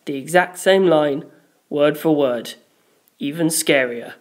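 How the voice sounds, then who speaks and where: A woman's voice: low-pitched, slow. A woman reading a scripted first-person story as an audiobook narrator.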